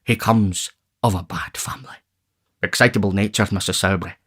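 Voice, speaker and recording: A man reading aloud, voicing a pompous, bullying parish official who lectures in a deep, impressive tone. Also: brisk, fairly bright tone, slightly rough. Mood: lecturing. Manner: pompous and stern.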